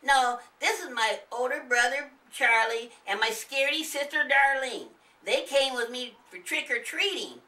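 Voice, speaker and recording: A woman's voice: sits high, measured pace, hardly any pauses, bright, forceful tone, voice pushed up. A woman voicing a cheeky, eager little boy in a children's story, recorded roomily at a distance. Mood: delighted, explaining. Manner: eager, teasing, exclaiming. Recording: roomy, distant microphone.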